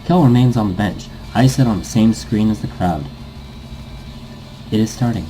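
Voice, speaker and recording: A man's voice: fairly low pitch, normal speed, dark tone, a little hiss or hum, low-quality recording. A man doing a casual gaming playthrough, reading game text aloud and rambling off on tangents. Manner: rambling, easily sidetracked. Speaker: let's player reading a visual novel aloud.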